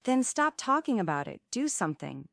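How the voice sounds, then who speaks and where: A woman's voice: a little high, sing-song, fairly quick, gravelly, a bit bright, dry recording, studio quality, heavily acted. A woman playing a grand, meddling romantic lady, dramatic and playful, in a comic stage play table read. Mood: urgent, teasing.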